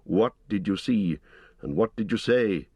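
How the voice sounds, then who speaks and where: A grave old wizard, as a male narrator puts him on, deep and deliberate, stern and commanding. His words come slowly, and the sound is close and dry. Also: animated, darkish tone, slightly rough, no echo, heavily acted.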